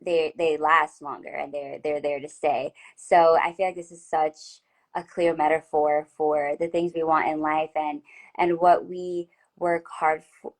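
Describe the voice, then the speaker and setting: A woman hosting a casual interview over a video call, talking in an affirming, chatty way with frequent restarts. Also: low voice. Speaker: host.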